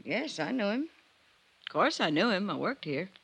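Sharp, bitter village housekeeper. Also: female voice, mid-range voice, big pitch swings, normal speed, gravelly, breathy edge, heavily acted. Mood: bitter, resentful. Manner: clipped, folksy, sardonic. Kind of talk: old-time radio drama acting.

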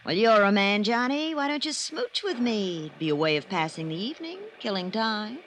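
A woman's voice: mid-range voice, big pitch swings, normal speed, a little hiss or hum, lightly acted. An actress playing a bored, bitter and provocative woman in an old time radio mystery, with an arch, sardonic manner. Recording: vintage radio recording, faint music.

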